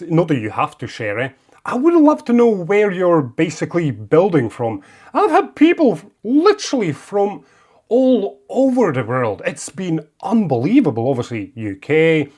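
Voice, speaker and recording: Latvian-accented man, friendly tutorial host, animated voice sitting a bit high. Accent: Latvian, living in Scotland. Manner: chatty and encouraging, coaxing phrases. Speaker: model-building video host. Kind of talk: casual hands-on build tutorial.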